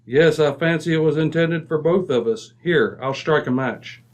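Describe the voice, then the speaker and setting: Guy doing a british gentleman detective, old radio drama, crisp wording, very sure of himself. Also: on the high side, highs cut off, close to an everyday voice. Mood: brisk, intent. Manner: brisk, precise, self-assured. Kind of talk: old-time radio mystery acting.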